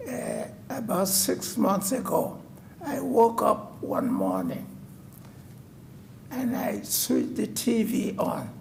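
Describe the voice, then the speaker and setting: A man's voice: high voice, gravelly, a little mellow, a little hiss or hum. A man in his nineties from West Africa, telling a formal personal story to a city board. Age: 90s. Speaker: resident addressing a code enforcement board. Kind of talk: personal testimony at a public meeting. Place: city council chamber.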